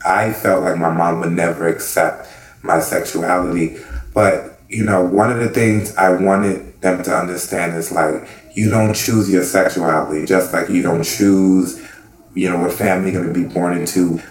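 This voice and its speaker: A man's voice: deep voice, fairly even intonation, normal speed, reverberant, low-quality recording. A man in his thirties from the Bahamas, speaking earnestly and reflectively in an oral history interview, often saying "you know". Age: 30s. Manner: earnest and reflective, with frequent fillers.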